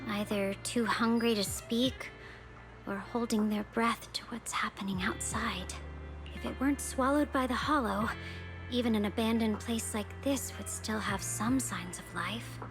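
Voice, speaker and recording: A woman voicing a brooding, cryptic, world-weary young woman in a video game, musing in a cool, deliberate way. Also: a little high, over music.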